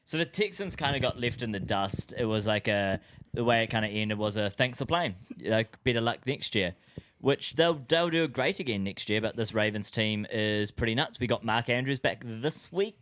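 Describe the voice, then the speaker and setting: A man's voice: fairly low pitch, expressive, normal speed, a bit bright. A man co-hosting a casual sports banter podcast. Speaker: podcast co-host.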